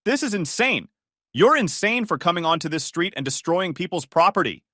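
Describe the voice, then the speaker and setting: A woman's voice: big pitch swings, moderate pace, fairly bright tone, no room sound, studio quality. A man voicing a furious middle-aged woman neighbor who rants indignantly over her ruined garden. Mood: ranting. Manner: shrill, indignant ranting.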